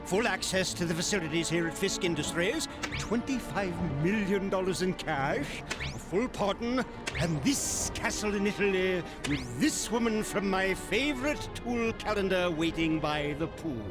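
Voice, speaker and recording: Greedy eccentric inventor bad guy from a game, high voice, pompous, music behind. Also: animated, normal speed. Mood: demanding. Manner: pompous, precise technical delivery. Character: eccentric, greedy villainous inventor with grandiose demands. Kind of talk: video game cutscene acting.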